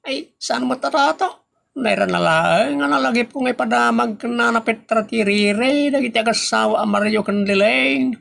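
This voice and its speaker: Creaky Ilocano comedy gossip, exclamatory acted by a man. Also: extremely high pitch, normal speed, fairly bright tone, heavily acted. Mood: defensive, irritated. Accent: Ilocano, northern Philippines. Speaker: actor in an Ilocano comedy audio drama. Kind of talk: comedy radio drama dialogue.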